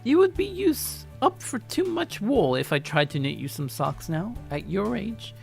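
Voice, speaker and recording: A man voicing a doting, energetic grandmother of about eighty in a story, playfully crafty and coaxing. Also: high voice, a little slow, vocal fry, soft background music, voice pushed up, exaggerated voice. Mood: teasing. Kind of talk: character voice in a story reading.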